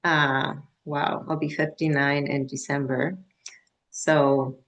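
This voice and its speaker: Slow, low-voiced woman coach in her 50s. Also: little pitch movement, a bit bright, low-quality recording. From Mexico. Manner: halting, full of restarts and "like" fillers. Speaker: menopause wellness coach. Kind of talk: casual video-call conversation. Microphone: video call.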